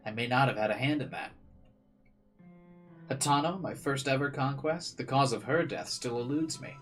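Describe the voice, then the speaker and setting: A man's voice: medium pitch, moderate pace, fairly bright tone. Male let's player reading aloud. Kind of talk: visual novel read-aloud with casual commentary. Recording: faint game music behind.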